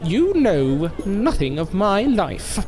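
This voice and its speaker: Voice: medium pitch, big pitch swings, takes it slowly, music in the background. A performer playing a proud but polite circus newcomer in an audio drama, with an earnest, formal and sometimes hesitant manner. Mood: defensive.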